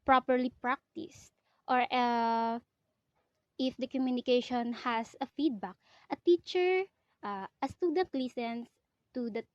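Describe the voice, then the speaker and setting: A woman's voice: fairly high pitch, a little mellow, no room sound, lo-fi sound. A young woman with a Filipino accent, whose first language is Filipino, presents a lesson on teaching in an earnest way, often restarting and correcting herself mid-sentence. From Philippines.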